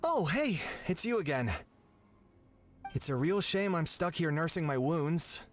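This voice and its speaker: A man's voice: on the high side, expressive, unhurried. A man voicing a friendly but worried young messenger in a video game, in an earnest, conversational way. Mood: friendly, rueful.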